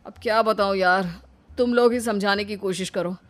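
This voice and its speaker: A man's voice: high-pitched, steady tone, moderate pace, fairly bright tone. A man voicing a resigned, exasperated young husband in a cartoon story, pleading and complaining. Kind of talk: Hindi cartoon story dubbing. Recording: background music behind the voice.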